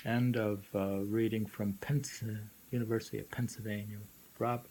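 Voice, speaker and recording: A man reading poems aloud informally and musing on them in a halting way, often repeating lines. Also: fairly low pitch, flat delivery, darkish tone. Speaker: poetry reader and commentator. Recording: noisy amateur recording.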